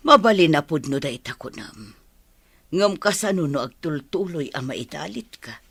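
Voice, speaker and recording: An actress with a Filipino accent playing an older village mother in a radio drama, caring and anxious about her family, with a husky voice. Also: deep voice, animated, moderate pace, dry recording, exaggerated voice. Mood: worried. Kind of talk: Ilocano radio comedy drama acting.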